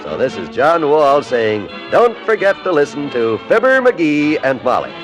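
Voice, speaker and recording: Casting: male 1950s radio announcer, animated delivery, medium pitch, music underneath. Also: a little slow, rough recording.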